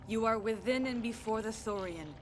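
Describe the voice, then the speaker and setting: An actress voicing a menacing alien clone who speaks for an ancient being in a formal, archaic, imperious way in a video game. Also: female voice, on the high side, moderate pace, faint music behind, lightly acted. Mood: imperious menace.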